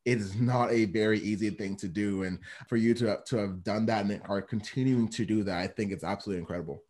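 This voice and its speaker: A man with a low voice hosts a casual interview. He responds with encouraging, effusive praise. He often stumbles over and repeats his words. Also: steady tone, quick pace, darkish tone. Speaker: interview host.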